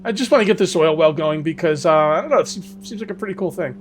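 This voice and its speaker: A man with a hoarse, fading voice, casually commenting on his survival game as he plays and rambling to his viewers. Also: a little high, quick pace, slight background noise. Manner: rambling, self-correcting, talks to viewers. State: losing his voice. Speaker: gaming video host. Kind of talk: casual let's-play commentary.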